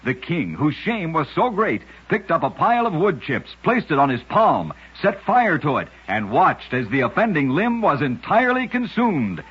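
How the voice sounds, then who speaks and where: Male narrator for vintage radio oddities: raspy, animated, dramatic phrasing, on a dull lo-fi recording. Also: fairly high pitch, normal speed, hardly any pauses. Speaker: radio host and storyteller. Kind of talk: old-time radio scripted narration of curious facts.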